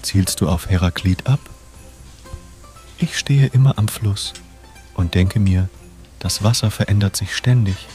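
A lofty, musing philosopher, played by a man with a fairly low voice. He declaims in flowery phrases in a comic radio play, over light music. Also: unhurried, pauses often, a little mellow, lightly acted. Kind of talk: comic audio drama acting.

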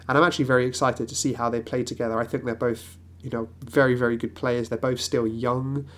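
A man's voice: mid-range voice, flat delivery, quick pace, a bit bright. A man who has a cold and sounds nasal and snivelly. He hosts a solo football podcast and rambles along with restarts and fillers. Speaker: podcast host.

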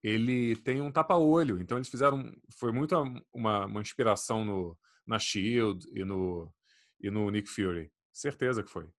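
A man, chatting casually as a podcast co-host, animated and swearing freely. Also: fairly low pitch, normal speed. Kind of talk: casual chat.

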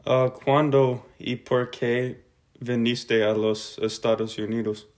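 Slow, halting male co-host. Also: mid-range voice, steady tone. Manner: hesitant, halting delivery. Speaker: podcast co-host. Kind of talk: reading interview questions.

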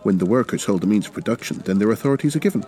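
A man voicing a calm, principled revolutionary debating doctrine in a story reading, earnest and argumentative. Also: lowish voice, very fast, voice pushed up, close to an everyday voice. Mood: earnest protest. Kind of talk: dramatic short story reading.

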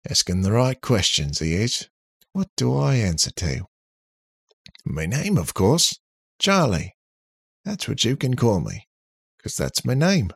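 A man voicing a cheeky, cocky, talkative robot in an animated, boastful, slangy way for an audiobook. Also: lowish voice, measured pace, creaky, long pauses, breathy edge, dry recording, clean studio sound, pitched above the performer's own voice, exaggerated voice. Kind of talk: audiobook character voice.

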